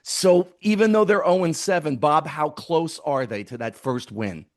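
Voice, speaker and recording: A man's voice: a little high, moderate pace, a little mellow. A man hosting a sports radio segment, setting up questions for a guest. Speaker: sports radio show host, interviewer.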